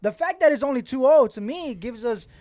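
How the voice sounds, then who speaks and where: A man's voice: extremely high pitch, lively intonation, a little fast, darkish tone, no echo, clean studio sound. A man co-hosting a football podcast in casual banter, blunt and slangy, swearing and repeating phrases insistently. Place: podcast studio.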